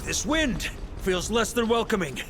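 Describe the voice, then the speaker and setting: A man's voice: high-pitched, sing-song, unhurried, very noisy, heavily acted. A male actor voicing an anxious young traveler in a video game, uneasy and exclamatory. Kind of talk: video game character acting.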